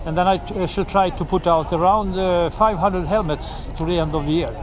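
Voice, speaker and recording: A Swedish man speaking halting English with many pauses and restarts, chatting outdoors at a street event into a head-mounted camera. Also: high-pitched, steady tone. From Sweden. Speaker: road-safety volunteer filming at a bike race. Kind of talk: casual chat with strangers. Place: outdoors at a busy street race start. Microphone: head-mounted action camera. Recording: crowd noise around an outdoor event.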